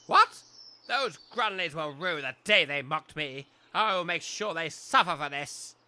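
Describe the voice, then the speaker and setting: A man playing a pompous, excitable village major with a flowery manner and an upper-class English accent in a comic audio drama. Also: fairly high pitch, a little slow, full character voice. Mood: indignant.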